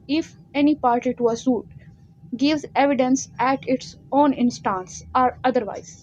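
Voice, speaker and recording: A woman with a Pakistani accent teaching as a law lecturer, explaining and reading out text in a deliberate, instructional way. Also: high voice, mellow, a little hiss or hum. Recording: dull lo-fi sound.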